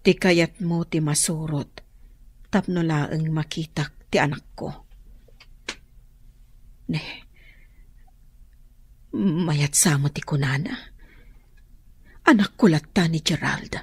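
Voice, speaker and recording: Husky, worried Ilocano mother. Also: low voice, slow, pauses often, no room sound, heavily acted. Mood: troubled, reluctant. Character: worried adoptive mother guarding a secret from her son. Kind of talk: Ilocano radio drama acting.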